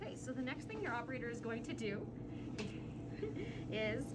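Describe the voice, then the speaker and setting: A woman's voice: a little high, sing-song, normal speed. A woman working as a dental operator, politely explaining each step of a procedure as she teaches. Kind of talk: clinical teaching demonstration. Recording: loud hiss and equipment noise.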